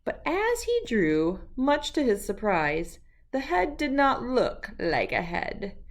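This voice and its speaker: A woman reading a children's storybook aloud with expressive, deliberate, emphatic phrasing. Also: fairly high pitch. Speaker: children's book read-aloud host.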